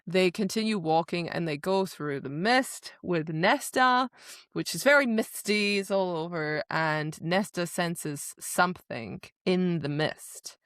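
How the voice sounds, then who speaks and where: A woman's voice: on the low side, slow, a bit bright, no room sound, studio quality. A woman co-hosting a podcast, casually recapping a book in a playful way. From Scotland. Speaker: podcast co-host.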